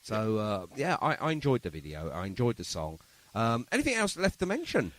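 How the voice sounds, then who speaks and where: A male radio host chatting casually with a studio guest. His delivery is enthusiastic and rambling, with a pitch that moves around in a very animated way. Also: a little fast, no room sound. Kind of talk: casual radio interview and chat.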